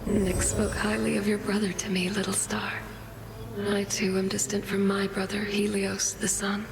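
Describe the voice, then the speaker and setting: A woman's voice: medium pitch, monotone, normal speed, vocal fry, over music, lightly acted. A woman voicing a whispering moon goddess. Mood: wistful. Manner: soft, whispery, wistful. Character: gentle distant moon goddess, wistful.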